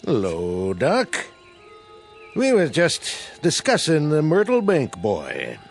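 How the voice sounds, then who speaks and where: A man's voice: fairly high pitch, huge pitch swings, takes it slowly, creaky, soft background music, full character voice. An actor playing a suspicious small-town country man with a rural American accent, drawling and insinuating in a radio drama.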